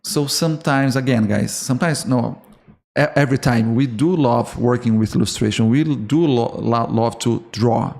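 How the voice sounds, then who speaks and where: A man's voice: mid-range voice, moderate pace, creaky, a little mellow. A man from Brazil with a Brazilian Portuguese accent, speaking English as a second language, hosting a solo podcast for artists in an earnest manner, often restarting words and checking in with "okay?". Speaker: podcast host.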